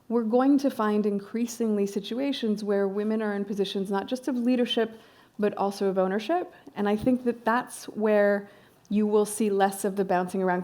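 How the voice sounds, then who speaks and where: A woman's voice: medium pitch, a little mellow. A woman on a panel, passionate and blunt, dropping the odd swear word. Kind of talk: panel discussion.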